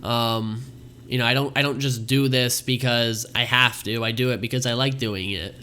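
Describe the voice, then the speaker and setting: A man's voice: medium pitch, steady tone, moderate pace, pushed and bright. A young man hosting a casual live stream, rambling and thanking viewers often while his voice wears out. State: losing his voice. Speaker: livestream host.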